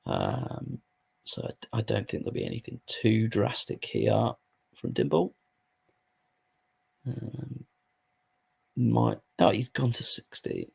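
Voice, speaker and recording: A hoarse man giving casual, sweary commentary while playing a football management game. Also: mid-range voice, huge pitch swings, slow pace, pauses often, darkish tone. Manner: swears freely, muttering asides while playing. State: hoarse, voice going. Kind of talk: casual live gaming commentary.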